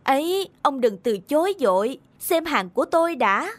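High, insistent cartoon seller. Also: female voice, big pitch swings, moderate pace. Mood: persuasive. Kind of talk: animated folk-tale cartoon acting. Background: loud instrumental music.

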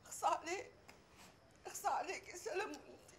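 A woman's voice: very high-pitched, brisk, a bit bright, slight background noise. An actress playing an older divorced mother who nags and curses her ex-husband, with an Egyptian accent. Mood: furious. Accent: Egyptian Arabic. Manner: nagging, cursing, mocking. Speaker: Umm Mar'i, ex-wife. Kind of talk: radio drama acting.

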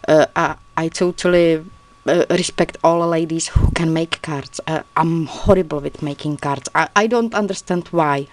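A woman from the Czech Republic with a Czech accent, chatting casually and a little haltingly in learner's English while showing her handmade journals, laughing often and poking fun at herself. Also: low voice. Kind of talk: casual crafting video chat. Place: indoors at a craft table, filmed from above.

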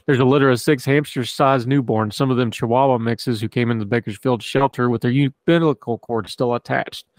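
A man's voice: mid-range voice, no echo. A man, a podcast host reading an article aloud in a conversational way with casual asides and the odd stumble.

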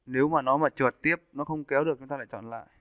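A man giving a casual, step-by-step software tutorial as an animation instructor. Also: mid-range voice, rapid-fire, no room sound. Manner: informal step-by-step explaining. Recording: muffled narrowband phone-like audio.

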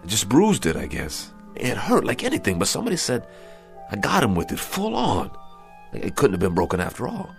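An actor playing a bewildered, weary, earnest aging boxer in a radio drama, speaking haltingly and colloquially. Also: male voice, on the low side, wildly swooping pitch, creaky, faint music behind, heavily acted. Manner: halting, colloquial, repeating himself. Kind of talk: modern radio drama acting.

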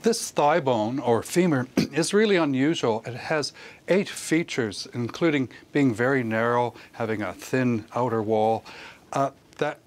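An older man, a professor, explaining his research in measured academic phrasing as an expert guest in a broadcast interview. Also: mid-range voice. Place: television studio, remote link.

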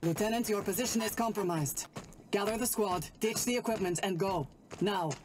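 An actress playing a calm, resolute woman super-soldier officer in a game, terse, grave and commanding. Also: medium pitch, moderate pace, over music, close to an everyday voice. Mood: tense, commanding. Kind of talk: military game audio log acting.

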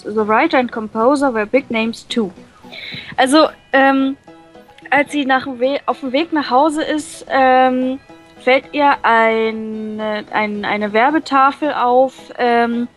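A woman reading a visual novel aloud and translating it, with many fillers and pauses. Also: high voice, poor audio. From Germany. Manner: hesitant, many fillers, laughs often.

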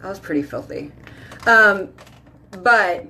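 A woman, chatting casually to a phone camera at home as a beauty vlogger, talking in a lively, filler-heavy way. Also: medium pitch, takes it slowly, vocal fry. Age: 30s. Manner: chatty, animated, lots of "like" and "y'all". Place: small bedroom or bathroom at home. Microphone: phone camera at arm's length.

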